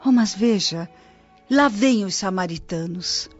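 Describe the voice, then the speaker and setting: A woman's voice: medium pitch, expressive, takes it slowly. A Brazilian woman acting a kindly, knowing old nurse of the spirit world in a radio drama, reassuring and explanatory. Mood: reverent, explaining. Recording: muffled audio with music behind. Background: background music.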